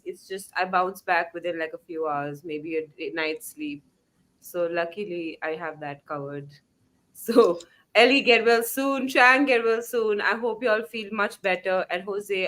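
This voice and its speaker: A woman co-hosting a casual livestream chat, with a slight sore throat, relaxed and laughing easily. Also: medium pitch, low-quality recording. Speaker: co-host.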